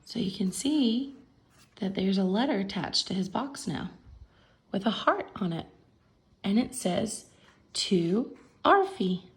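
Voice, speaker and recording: Woman, children's story reader, creaky edge, medium pitch, expressive, simple picture-book commentary. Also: a little slow, pauses often.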